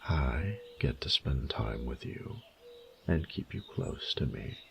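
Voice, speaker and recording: An immense, proud dragon speaks in a bottomless, heavily creaking bass. He takes his time over formal, flirtatious phrases, murmuring intimately to his beloved in a romantic audio roleplay. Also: lively intonation, slow pace, a little hiss or hum, full character voice. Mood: gentle, explaining. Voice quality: very deep, gravelly, breathy murmurs. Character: huge proud male dragon, flirty, doting and courtly.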